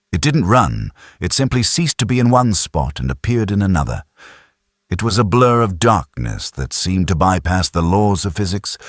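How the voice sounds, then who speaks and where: A man's voice: rumbling low, slightly rough, dry recording, studio quality. A man narrating a science fiction audiobook in a dramatic, scripted style.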